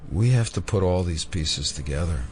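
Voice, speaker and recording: An actor playing a wry, earnest FBI agent obsessed with conspiracies in an audio drama. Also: male voice, low voice, lively intonation, moderate pace, darkish tone. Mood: earnest, insistent.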